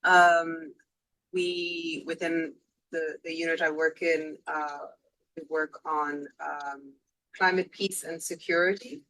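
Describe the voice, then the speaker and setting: A woman introducing herself at a policy workshop, halting with many fillers. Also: low-pitched, flat delivery, a bit bright, poor audio. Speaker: panelist. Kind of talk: conference self-introduction.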